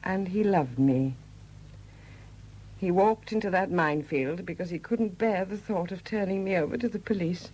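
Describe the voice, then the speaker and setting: An actress in a 1970s British radio drama playing a widowed murderess who confesses in a hesitant, confiding manner. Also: female voice, lowish voice, moderate pace, a little mellow, slight background noise, lightly acted, rough recording. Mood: sorrowful resignation. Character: quiet confessing murderess, a Welsh sculptor's widow.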